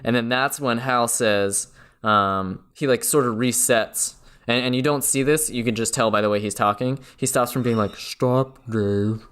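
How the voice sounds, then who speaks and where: A man's voice: medium pitch, moderate pace, clear, resonant tone. A man co-hosting a podcast, eagerly retelling a film scene by scene in casual chat. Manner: animated retelling, many "like" fillers. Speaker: podcast co-host.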